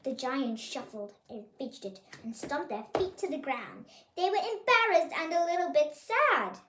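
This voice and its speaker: A storyteller reading a picture book aloud for children, expressive and pointing things out to young listeners. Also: high-pitched, unhurried, roomy sound, noisy background. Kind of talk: animated picture-book read-aloud for kids.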